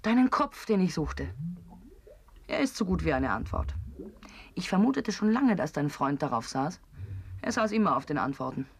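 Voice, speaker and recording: Sly, low husky woman with macabre relish. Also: very animated, moderate pace, long pauses, exaggerated voice. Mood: gloating, teasing. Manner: sardonic, sententious, theatrical. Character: sly, macabre, teasing woman who devours her friends. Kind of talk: absurdist German radio play acting.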